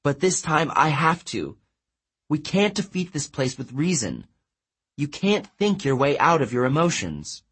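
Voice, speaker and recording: A man's voice: high voice, wildly swooping pitch, takes it slowly, fairly bright tone, breathy edge, voice pushed up, exaggerated voice. A man voicing a brave young heroine in a storybook, firm, soothing and determined.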